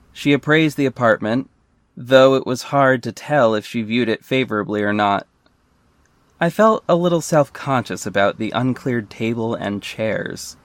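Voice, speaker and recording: A man's voice: mid-range voice, dry recording, natural voice. A man playing a wry, self-aware superhero who narrates his own story in a scripted audio drama.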